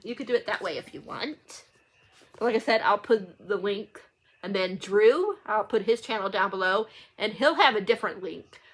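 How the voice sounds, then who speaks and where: A woman's voice: medium pitch, expressive, normal speed, fairly bright tone, slightly rough. A woman talking casually to viewers in a rambling, informal book vlog, often getting sidetracked. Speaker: book channel vlogger.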